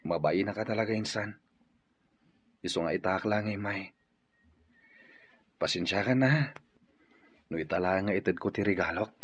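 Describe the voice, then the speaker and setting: A man's voice: sits low, normal speed, pauses often, husky. A man with an Ilocano accent acting an ashamed, hesitant cousin in a radio drama. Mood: ashamed, apologetic. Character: ashamed, apologetic male cousin, humble and hesitant. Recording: studio drama with prominent music bed.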